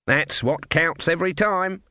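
A man's voice: a little high, measured pace, pushed and bright, dry recording, clean studio sound, pitched above the performer's own voice. A man voicing a stern, stubborn old witch who speaks bluntly and firmly in country dialect.

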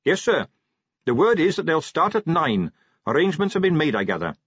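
A man playing a dutiful young watch captain with a lifted, earnest voice that rises and falls readily. He is eager and respectful and has a slightly husky edge. The recording is close and dry. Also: a little high, big pitch swings, brisk, no echo, highs cut off, pitched above the performer's own voice. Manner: earnest, eager, polite "sir"s.

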